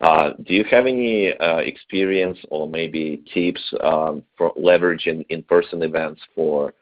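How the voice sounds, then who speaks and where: A man hosting a webinar, putting questions to a guest in an unscripted, halting way. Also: rumbling low. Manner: halting, feeling his way through questions. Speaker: webinar host. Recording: thin phone-like webinar line.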